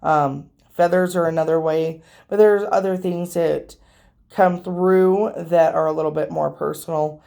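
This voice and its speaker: Hoarse, slightly nasal woman with a gravelly voice. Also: low voice, steady tone, a little slow, mellow. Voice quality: a little nasal from allergies. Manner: rambling, chatty, with frequent ums. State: losing her voice, hoarse. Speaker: tarot reader and channel host. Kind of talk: casual vlog talking to viewers.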